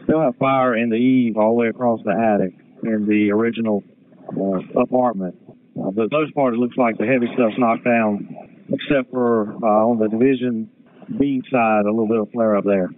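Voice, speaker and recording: Fire commander, male, medium pitch, measured and procedural, through a thin two-way radio channel. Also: steady tone. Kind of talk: emergency radio traffic. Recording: narrow tinny radio channel with noise and clicks.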